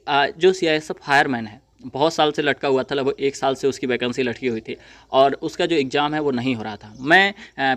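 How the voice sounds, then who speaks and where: A young man gives an informal update to viewers, explaining in a halting, repetitive way. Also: on the high side, no room sound. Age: young adult. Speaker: exam update video presenter.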